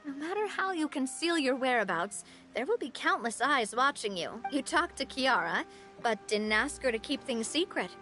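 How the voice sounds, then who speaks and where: A woman's voice: high voice, expressive, moderate pace, pushed and bright, soft background music. An actress playing a confident, breezy young woman who leads an organization in a video game, sounding self-assured. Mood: confident, knowing.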